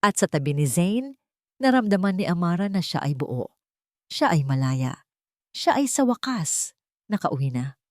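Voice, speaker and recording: A woman narrating a scripted dramatic audiobook story with measured, dramatic phrasing. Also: on the low side, sing-song, darkish tone, no room sound, studio quality.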